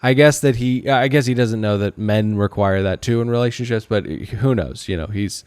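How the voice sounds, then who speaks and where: Halting, sarcastic male podcast host, dry close sound. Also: on the low side, a little mellow, clean tone, no echo. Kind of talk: casual comedic TV recap chat.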